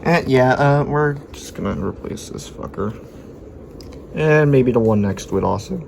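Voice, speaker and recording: A man's voice: mid-range voice, animated, normal speed, gravelly, a little mellow. A man thinking aloud in casual, sweary repair commentary, muttering and musing to himself as he works on a noisy home video. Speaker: hobbyist radio repairer filming his work.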